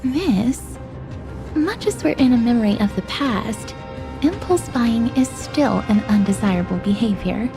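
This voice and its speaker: Composed observant game companion, formal, softly solemn, lightly high voice, music underneath. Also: female voice, expressive. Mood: polite, cautious. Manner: polite, measured, formal. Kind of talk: video game voice acting.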